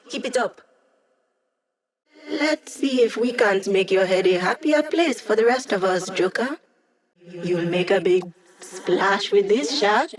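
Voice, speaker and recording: A woman's voice: mid-range voice, lightly acted. A woman voicing a wry, upbeat young hacker who lives as a digital mind, in a casual, teasing video game performance. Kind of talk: video game character voice lines.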